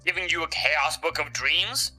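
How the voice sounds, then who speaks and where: Very bright, flippant male researcher, acted. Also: a little high, moderate pace, faint music behind, poor audio. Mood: incredulous. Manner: exclamatory, cheeky. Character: Henrik, flippant, theatrical, excitable man researcher. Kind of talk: scripted audio drama.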